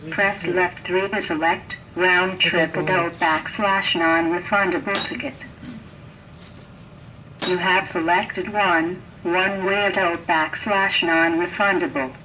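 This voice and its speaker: A synthetic, robotic female voice giving formal, flat automated instructions as a ticket machine prompt. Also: lowish voice, slow, a crowd in the background. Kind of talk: scripted automated audio instructions. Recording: noisy distant machine speaker.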